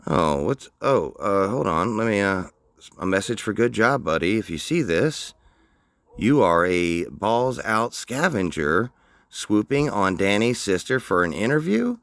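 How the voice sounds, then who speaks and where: A man's voice: lowish voice, very animated, measured pace, a bit bright, dry recording. A man hosting a commentary show, mocking the clips he plays with dry sarcasm. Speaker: commentary host.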